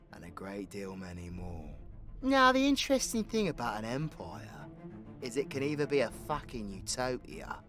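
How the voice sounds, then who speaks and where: A man playing a cocky, animated British supervillain. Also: fairly low pitch. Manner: crude, playful, swaggering, conversational. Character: cocky, foul-mouthed male supervillain, orphaned, teasing his drinking partner. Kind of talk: audio roleplay addressed to the listener.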